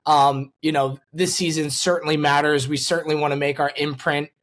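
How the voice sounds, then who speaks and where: Podcast host chatting sports, kinda rambly and into it, mid-pitched voice. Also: flat delivery, fairly quick, fairly bright tone. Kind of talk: casual sports conversation.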